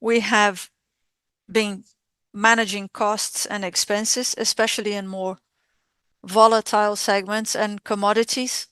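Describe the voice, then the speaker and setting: A woman interpreting live on a formal corporate earnings call, speaking in measured, halting short phrases. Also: mid-range voice, little pitch movement, a bit bright, smooth, no room sound.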